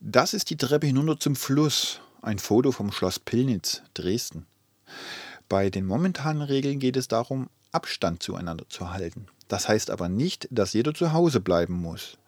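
An actor voicing a calm, thoughtful man in a radio play collage of social media posts, speaking in a measured, reflective, articulate way. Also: medium pitch, no room sound.

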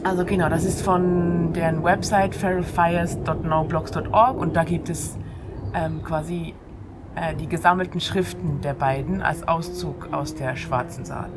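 A woman presents an informal radio monologue in a fairly low voice. She speaks conversationally and straight to the listener, with clearly audible ambient noise coming in through an open window. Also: steady tone, measured pace. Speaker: radio show host. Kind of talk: informal monologue on free radio, with read-out passages.

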